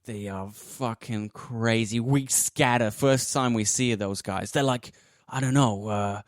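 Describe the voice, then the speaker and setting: A man's voice: medium pitch, dry recording, close to an everyday voice. Man playing a wary, slangy street kid, close and dry. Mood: spooked. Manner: halting, slangy, casual. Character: wary young street kid scavenger, awed and foul-mouthed. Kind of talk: improvised tabletop roleplay acting.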